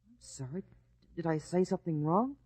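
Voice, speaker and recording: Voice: medium pitch, expressive, normal speed, a little mellow, no echo, close to an everyday voice. A performer playing a curious, respectful young boy in a radio drama, eager and questioning. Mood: earnest, admiring.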